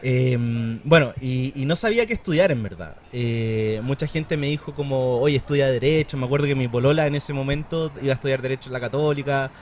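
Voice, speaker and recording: A man in his thirties from Chile with a Chilean Spanish accent, chatting casually as a podcast guest, relaxed and full of fillers and slang. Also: mid-range voice, a little fast, hardly any pauses, a little hiss or hum. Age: 30s. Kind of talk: casual conversation.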